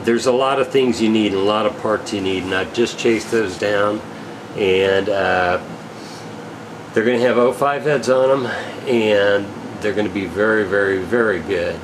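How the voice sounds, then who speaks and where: A man giving a casual, unscripted product rundown as a gear reseller, halting and technical in a matter-of-fact way. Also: lowish voice, noisy background. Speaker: radio equipment reseller presenting gear.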